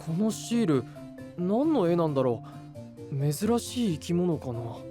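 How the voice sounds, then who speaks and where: A man's voice: on the high side, very animated, measured pace. A man voicing an earnest, kind, straightforward young hero knight in an anime-style voice drama, youthful and sincere. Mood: puzzled, concerned. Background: background music.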